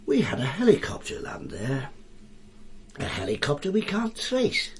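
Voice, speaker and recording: An actor playing a wry, bookish, world-weary detective inspector in a radio drama, with a dry, pompous turn of phrase. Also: male voice, fairly high pitch, huge pitch swings, moderate pace. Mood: wry, musing. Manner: dry, pompous, quote-laden turns of phrase.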